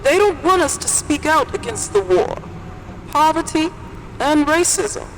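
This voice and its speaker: An actress playing an impassioned young revolutionary activist, giving an urgent, declamatory rally speech in an audio drama. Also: female voice, high voice, lively intonation, measured pace, a little hiss or hum, low-quality recording. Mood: militant. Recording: music and crowd behind voice.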